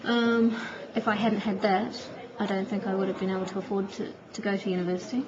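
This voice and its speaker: A woman's voice: mid-range voice, little pitch movement, brisk. Brief: chatty NZ woman, thirties, interview over a dull phone line, crowd chatter behind. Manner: chatty, laughs easily, reflective. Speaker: interviewee, teacher. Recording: muffled phone-call recording with crowd noise behind.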